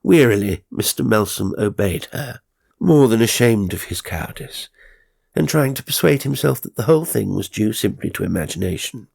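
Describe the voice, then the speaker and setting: Gravelly, low-voiced man narrating horror. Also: darkish tone, no echo. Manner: measured, ominous, theatrical. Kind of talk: dramatic scripted horror narration. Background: faint music.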